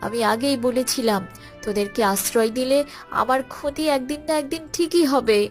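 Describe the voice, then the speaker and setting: A woman voicing an anxious, protective cartoon mother hen who scolds fretfully but has a soft heart. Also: high voice, moderate pace, faint music behind, lightly acted. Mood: grieving. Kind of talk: children's cartoon voice acting.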